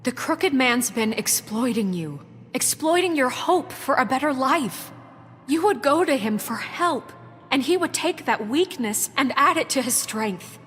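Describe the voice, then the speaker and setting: A woman's voice: sits high, animated, a little slow, fairly bright tone, slightly rough, full character voice. An actress playing an earnest, firm woman leader of a troubled town in a video game, speaking formally and resolutely. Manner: formal, resolute, pleading for trust. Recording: echoey hall, music behind.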